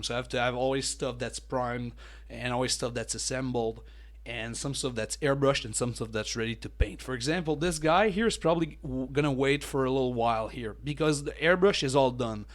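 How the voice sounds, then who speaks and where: Chatty mellow-voiced man rambling about hobbies. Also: medium pitch. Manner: rambling, chatty, lots of fillers and restarts. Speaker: miniature painting channel host. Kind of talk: casual talking-head hobby tips.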